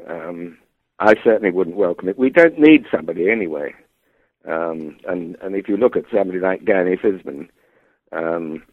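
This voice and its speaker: A man speaking as a club chairman in an old interview clip talks in a measured, hesitant way, with many ums. His voice is very deep. The sound is tinny and phone-like, with a dry feel. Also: no room sound.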